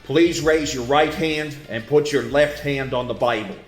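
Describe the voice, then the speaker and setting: Male actor as courtroom official: mid-pitched, solemn, in a very roomy echo. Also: measured pace, natural voice. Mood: formal, solemn. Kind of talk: courtroom audio drama. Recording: roomy echo, faint music behind.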